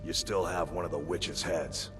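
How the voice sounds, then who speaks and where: An actor playing an earnest, simple, brawny warrior in a fantasy game, blunt and plain-spoken in short sentences. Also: male voice, sits low, steady tone, moderate pace, natural voice. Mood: solemn, earnest. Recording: reverberant hall, music behind. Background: game music.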